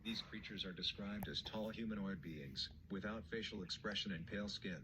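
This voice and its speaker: A man's voice: on the low side, normal speed, lightly acted. A man playing a grave emergency broadcast announcer, reading a scripted alert in a formal, impersonal way. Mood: stern, official. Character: grave male emergency broadcast announcer warning of deadly danger. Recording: noisy emergency broadcast clip.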